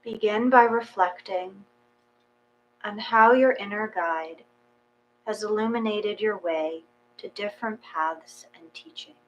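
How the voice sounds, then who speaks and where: A woman reading a guided meditation aloud in a slow, calm, measured way with long pauses. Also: medium pitch, muffled recording. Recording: faint mains hum.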